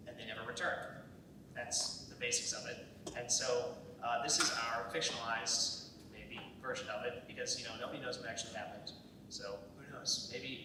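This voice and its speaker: Young guy chatting to an audience, super echoey, rumbly background noise. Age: young adult. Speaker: executive producer presenting a student script. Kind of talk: casual unscripted presentation to an audience. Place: large echoey indoor room, live event. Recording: very echoey hall, distant mic, rumbly noise.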